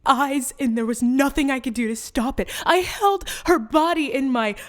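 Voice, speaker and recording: A woman's voice: high-pitched, moderate pace, close to an everyday voice. A woman playing a guarded, hurting young daughter in a radio play. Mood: anguished, angry. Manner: hesitant, evasive.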